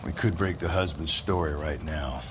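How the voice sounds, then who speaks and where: Low-voiced jaded American detective, noisy background. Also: male voice, moderate pace. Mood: jaded, blunt. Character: jaded, chauvinist veteran 1940s police detective. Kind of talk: dramatic video game acting. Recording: clear background noise.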